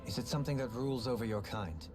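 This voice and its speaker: A man playing a composed, logical, skeptical adventurer in a video game, with formal, deliberate phrasing. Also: mid-range voice, over music, lightly acted. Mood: composed, probing. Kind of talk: video game cutscene acting.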